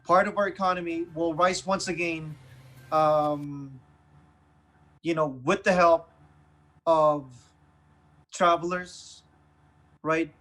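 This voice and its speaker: A man co-hosting a casual current affairs chat, haltingly repeating phrases and checking in with short tag questions. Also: fairly high pitch, steady tone, bright, forceful tone. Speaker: talk show co-host. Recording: music bed behind the voice. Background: music.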